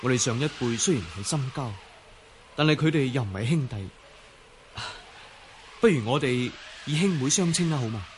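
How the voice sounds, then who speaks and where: Young man, actor as fond gentlemanly swordsman, Cantonese, earnest, medium pitch, radio drama, faint noise behind. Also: lively intonation, normal speed, long pauses, lightly acted. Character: young martial arts gentleman, sincere, fond, courteous.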